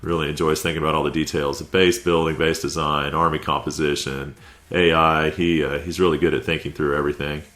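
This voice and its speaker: A man's voice: extremely low pitch, little pitch movement, brisk, hardly any pauses, fairly bright tone. A man with a stuffy head cold giving laid-back, conversational play-by-play commentary over a video game recap. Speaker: clan war recap commentator.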